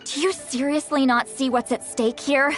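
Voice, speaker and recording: A woman's voice: high voice, steady tone, normal speed, natural voice. Woman playing a nagging, scolding grandmother. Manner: nagging, exasperated scolding with sighs. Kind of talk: video game cutscene dialogue. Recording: game music behind voice.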